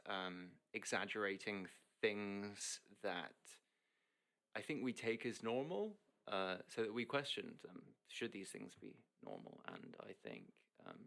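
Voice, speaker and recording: A man's voice: lowish voice, gravelly, a bit bright. A young man who grew up in Hong Kong, a guest chatting casually, rambling with many fillers and restarts. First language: English. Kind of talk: casual interview chat.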